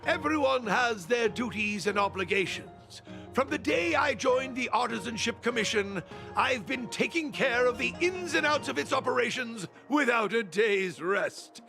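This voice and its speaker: A man voicing a stubborn, dutiful old master craftsman in a video game, speaking formally and firmly with a creaky voice. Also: extremely high pitch, animated, normal speed, over music, full character voice. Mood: resolute, stoic. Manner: formal, firm, resolute.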